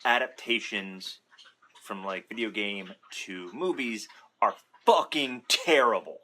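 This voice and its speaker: A man's voice: lowish voice, lively intonation, takes it slowly, bright, forceful tone. A man giving casual, unscripted opinion commentary in an informal, rambling way, correcting himself as he goes and sometimes swearing. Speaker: video commentator.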